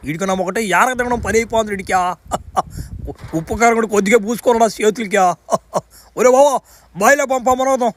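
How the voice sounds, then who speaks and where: A man's voice: very high-pitched, quick pace. A man voicing a brash, teasing, foul-mouthed young man in a cartoon comedy. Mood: playful. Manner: cocky, slangy, foul-mouthed.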